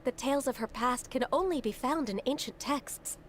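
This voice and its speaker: A woman voicing a gentle, earnest, dutiful young woman in a video game, speaking politely and with care. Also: high voice, slight background noise, close to an everyday voice. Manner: polite, measured, careful.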